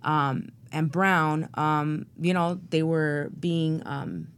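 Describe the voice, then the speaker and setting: Actor lady on a podcast, kinda low voice, rambly and thoughtful, lots of ums and likes. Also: fairly even intonation, slow, slight background noise. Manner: rambling, reflective, many fillers. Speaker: actor and playwright guest. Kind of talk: interview conversation.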